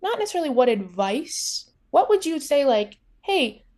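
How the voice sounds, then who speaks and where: A woman's voice: high-pitched, sing-song, normal speed, a little mellow. An American woman hosting a casual interview in an informal, effusive, chatty way. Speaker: interviewer, host.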